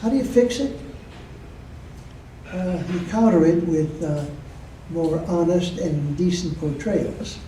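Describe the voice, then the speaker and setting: An older man from Michigan gives a talk to a club audience in a slow, deliberate delivery full of pauses. His voice is fairly high yet deeply mellow and dark. He is captured from across an echoey meeting room, with a faint hum of room noise behind him. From Michigan, USA. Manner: slow and measured, with frequent pauses and fillers. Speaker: guest speaker. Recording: roomy echo, heard from a distance.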